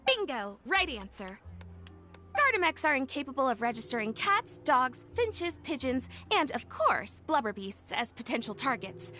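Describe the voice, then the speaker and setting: High bright eager inventor woman, salesy patter, soft music behind. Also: big pitch swings, moderate pace, heavily acted. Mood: explaining. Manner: upbeat pitchman patter, explains eagerly. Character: enthusiastic young woman tech inventor, salesy. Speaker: game character. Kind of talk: video game voice acting.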